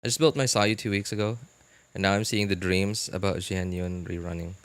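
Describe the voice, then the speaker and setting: A man casually chatting as a live-stream host, answering viewers in a halting way and repeating his phrases. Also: low-pitched, clean tone, no echo. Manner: halting, repeats phrases, animated incredulous reactions.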